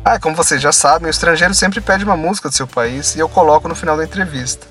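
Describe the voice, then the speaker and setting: Homem animado com voz clara e brilhante. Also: male voice, fairly high pitch, fast talker, fairly bright tone, soft background music. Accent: Brazilian Portuguese. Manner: upbeat, enthusiastic presenter. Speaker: interview host. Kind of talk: interview podcast intro and questions.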